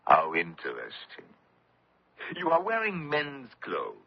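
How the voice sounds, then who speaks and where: An actor playing a sarcastic, commanding French collaborationist officer who menaces prisoners in a radio drama. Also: male voice, mid-range voice, huge pitch swings, takes it slowly, vocal fry, full character voice. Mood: sarcastic, menacing. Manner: commanding, sneering. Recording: dull lo-fi old radio recording.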